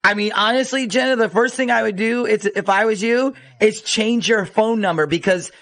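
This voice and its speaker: A man's voice: sits high, moderate pace, a bit bright. A man hosting a radio show, chatting casually with a caller in a phone-in segment.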